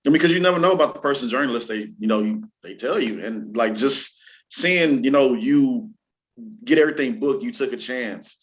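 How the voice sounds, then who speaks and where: A talk show host with a mid-pitched voice chats casually with a guest. The delivery is supportive and affirming, with hesitant, searching phrasing. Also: lively intonation, brisk, highs cut off. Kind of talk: casual interview chat.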